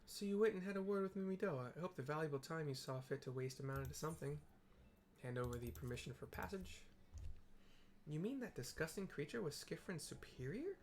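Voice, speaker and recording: A man plays a fussy, self-important scholar from a fantasy game. The voice is pitched a bit above his own, with some creak, and the wording is formal, disdainful and put-upon. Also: animated, fairly quick, slight background noise.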